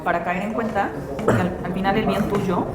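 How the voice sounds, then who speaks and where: A woman's voice: mid-range voice, steady tone, moderate pace. A woman with a Mexican accent hosting a conversational interview, explaining her ideas reflectively and earnestly, often adding checking tag questions. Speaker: therapist and show host. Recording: roomy echo, loud crowd chatter behind.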